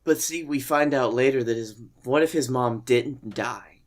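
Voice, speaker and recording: A man's voice: mid-range voice, unhurried. A man co-hosting a comedy podcast, casually riffing and brainstorming ideas with a friend.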